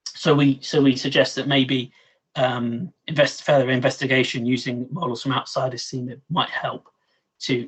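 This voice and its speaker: A man giving a scientific presentation in an online meeting, halting and often repeating words as he explains. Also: medium pitch, slightly rough, rough recording. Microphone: online meeting microphone.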